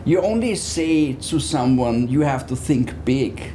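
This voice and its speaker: Guy chatting as interview guest, soft mellow voice, bit of background noise. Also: medium pitch, expressive, measured pace. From Austria. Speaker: interview guest, business therapist. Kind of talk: conversational interview talk.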